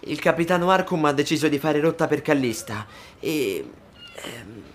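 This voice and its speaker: A man's voice: on the high side, lively intonation, moderate pace, slight background noise, close to an everyday voice. A man playing a meek, earnest young deckhand in a fantasy audio drama, hesitant and deferential.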